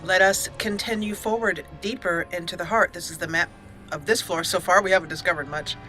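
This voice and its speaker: Bright, chatty woman gaming. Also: fairly low pitch, brisk, soft background music. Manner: chatty and playful, laughs often, reads game text aloud. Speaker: let's play host. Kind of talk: gameplay commentary.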